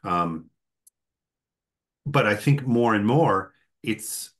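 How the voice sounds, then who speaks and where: Low-voiced man, rambling interviewer. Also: animated, extremely slow, a bit bright. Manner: rambling, thinking aloud, frequent throat-clearing. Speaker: host and interviewer. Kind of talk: conversational workshop interview.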